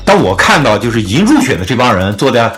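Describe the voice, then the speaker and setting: A man's voice: medium pitch, very animated, fast, fairly bright tone. A man hosting a casual music podcast, talking through his opinions in an informal discussion.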